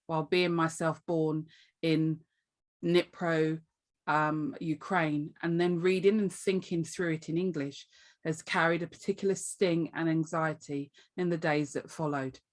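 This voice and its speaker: A woman taking part in a video call workshop, reading a passage aloud carefully. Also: sits low.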